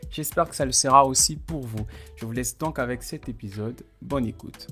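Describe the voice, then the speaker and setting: Man hosting a podcast, halting. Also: mid-range voice, faint music behind. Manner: relaxed, hesitant with repeated words. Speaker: podcast host. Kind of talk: conversational podcast interview.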